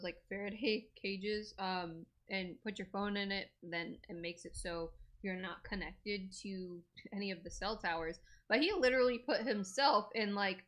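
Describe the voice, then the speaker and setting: A woman's voice: mid-range voice, fairly bright tone. A woman hosting a crypto video, chatting casually with lots of fillers and strong opinions. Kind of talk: casual commentary.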